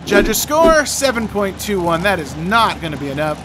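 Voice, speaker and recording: A commentator giving excited play-by-play of a video game, with exclamatory, drawn-out interjections. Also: mid-range voice, background noise.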